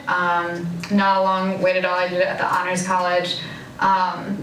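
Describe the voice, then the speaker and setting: Young female student, informal interview, even delivery, echoey distant sound. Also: on the low side. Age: young adult. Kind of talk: casual interview account. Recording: echoey, distant, faint noise.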